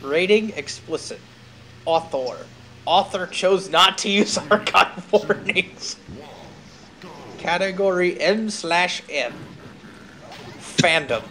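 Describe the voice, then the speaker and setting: A somewhat high-voiced man hosts a casual stream over faint background noise. He rambles from one aside to another, dropping academic words into loose chat. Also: animated, takes it slowly, long pauses, a bit bright. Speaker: streamer and host.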